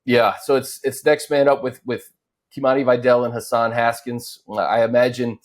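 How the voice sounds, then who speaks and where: A man hosting an unscripted football discussion, recapping points conversationally with hesitant pauses between phrases. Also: mid-range voice, little pitch movement. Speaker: talk show host.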